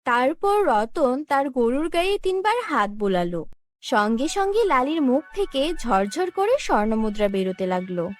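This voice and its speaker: A woman's voice: sits high, big pitch swings, moderate pace. A woman narrating a scripted children's fairy tale as storyteller.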